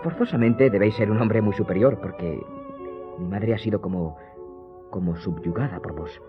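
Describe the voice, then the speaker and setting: A polite young viscount, acted by a man in a radio drama. He is earnest and a little hesitant, in a fairly low voice on a dull recording with music. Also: moderate pace, close to an everyday voice. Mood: earnest, admiring. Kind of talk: Spanish radio drama acting.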